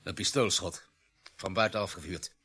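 Deep, husky, terse doctor. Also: male voice, normal speed, sharp tone, faint music behind, exaggerated voice. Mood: clinical. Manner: terse, clinical. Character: clinical, matter-of-fact male police doctor. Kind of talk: radio drama acting.

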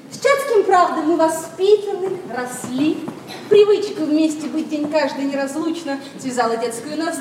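A young noblewoman, proud and romantic, in a classical radio play. Her voice is high and theatrical. It sounds distant and heavily reverberant, with clear noise around it. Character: young noblewoman Sophia, proud, lovestruck, evasive with her father. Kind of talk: classical verse stage acting. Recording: echoey, distant stage-like recording, clear noise.

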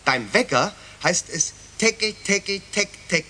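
A man's voice: sits high, expressive, measured pace, sharp tone, heavily acted. An actor playing an exasperated, admonishing but patient male schoolteacher in a 1970s children's radio play. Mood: instructing.

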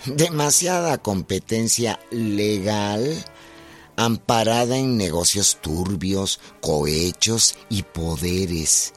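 A man's voice: lowish voice, lively intonation, slow pace. A man narrating a biographical radio drama as a grave storyteller, reading from a script. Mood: measured, serious. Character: grave storyteller chronicling a revolutionary's life. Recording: music behind the voice.

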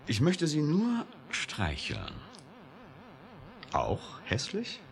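An actor playing a provocative, sardonic scientist in a radio drama, teasing and needling with a defiant, flirtatious streak. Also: male voice, a little high, wildly swooping pitch, extremely slow, vocal fry, exaggerated voice. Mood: teasing, probing. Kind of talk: 1980s German radio drama acting.

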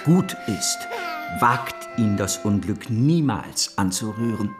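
An actor playing a driven, scheming Parisian financier in a radio play, emphatic and self-assured. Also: male voice, fairly low pitch, animated, takes it slowly, over music. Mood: gallant.

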